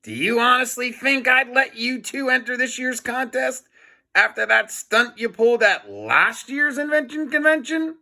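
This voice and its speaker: Storybook principal, acted by a male reader: middle-aged, sneering, gruff, forceful delivery with big swoops. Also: very high-pitched, animated, moderate pace, voice pushed up, exaggerated voice. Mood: mocking. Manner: gloating, sneering, shouting at boys.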